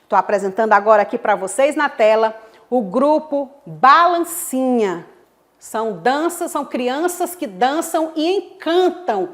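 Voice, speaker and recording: A woman from Brazil, a history teacher giving a televised school lesson in an expressive, emphatic way. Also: high-pitched, measured pace. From Northeast Brazil.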